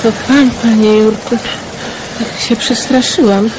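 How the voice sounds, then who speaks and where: An actress playing an anxious middle-aged aunt in a radio drama, fretful and pleading. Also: female voice, a little high, steady tone, measured pace, very noisy, close to an everyday voice. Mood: startled. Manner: fretful, pleading, breaking into whispers.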